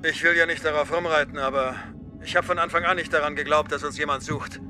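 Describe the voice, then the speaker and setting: Ein Mann spielt einen zackigen, trocken-humorigen Klonsoldaten in einem Zeichentrick-Hörspiel. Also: male voice, a little high, steady tone, fast, over music, close to an everyday voice. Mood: resigned, dry. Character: brisk, dry-humoured male clone soldier. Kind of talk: German animated-series audio drama dubbing.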